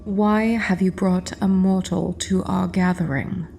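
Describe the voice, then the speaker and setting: A man voicing a stern maiden goddess in a fantasy audio drama, slow and creaky, with faint noise. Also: high-pitched, little pitch movement, darkish tone, voice pushed up. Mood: disapproving. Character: stern maiden aspect of three-faced goddess.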